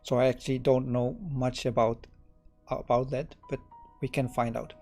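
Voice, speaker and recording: A middle-aged Dutch man with a Dutch accent, live-coding on a stream and thinking aloud, relaxed and halting with many fillers and restarts. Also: mid-range voice, faint music behind. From Netherlands.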